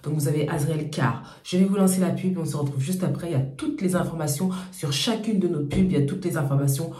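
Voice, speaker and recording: A woman hosting a channel, talking casually and hoarsely through a cold, thanking viewers over and over. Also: deep voice, quick pace, mellow, echoey room. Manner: repetitive, chatty thanks to viewers. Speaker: YouTube channel host. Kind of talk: casual talk to camera.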